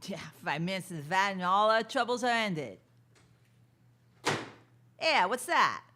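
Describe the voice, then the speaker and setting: Guy doing a gruff wary henchman, higher voice, slow talker, blunt, radio theatre. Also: animated, noisy background. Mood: grumbling, nervous. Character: gruff, wary male criminal underling. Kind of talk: theatrical radio drama acting.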